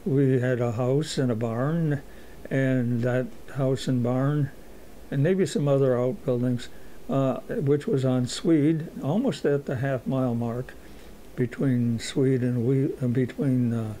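A man of eighty-seven recalling his youth in a halting way, with many fillers and a dry humor. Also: medium pitch, mellow, slight background noise, poor audio. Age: 80s. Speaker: interviewee. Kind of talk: oral history recollection.

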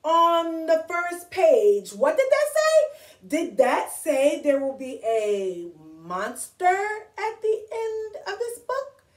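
A woman's voice: very high-pitched, wildly swooping pitch, slow, pitched above the performer's own voice, heavily acted. A woman voicing a frantic, fearful male puppet monster from a storybook, melodramatic and pleading. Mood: alarmed, scared. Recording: echoey room, distant from microphone.

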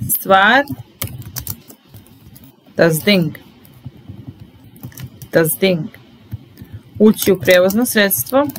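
A young woman teaching German vocabulary in a slow, deliberate drill, saying each word and repeating it calmly. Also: medium pitch, slight background noise, low-quality recording. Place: small indoor room at a desk.

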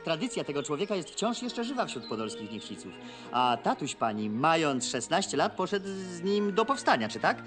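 An actor playing a courteous, eager young Polish gentleman in a period television drama, speaking politely and with animation. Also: on the high side, big pitch swings, normal speed, music in the background. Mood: effusive. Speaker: landowner's son.

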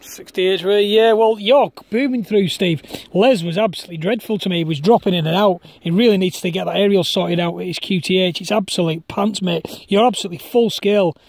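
A man's voice: high voice, brisk, few pauses, a little mellow, no echo. A man with a Northern English accent, chatting casually and colloquially outdoors as an amateur radio operator. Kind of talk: casual chat over ham radio. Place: outdoors on a hilltop common.

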